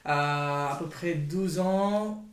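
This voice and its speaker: A man from India, speaking French as an in casual chat, often pausing to search for words. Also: on the high side. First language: not French. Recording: roomy echo.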